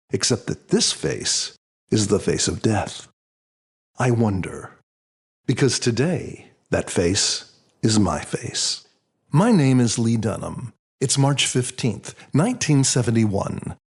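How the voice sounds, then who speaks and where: A man reading his own novel as a scripted audiobook, dramatic and solemn in a deliberate storytelling manner. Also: fairly low pitch, darkish tone, breathy edge.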